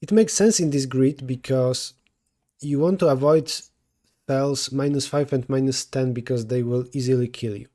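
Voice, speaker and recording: Mellow-voiced Polish man thinking aloud. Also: mid-range voice, normal speed. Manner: thinking aloud, self-correcting mid-sentence. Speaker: streamer solving coding problems. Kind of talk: live coding stream, answering chat.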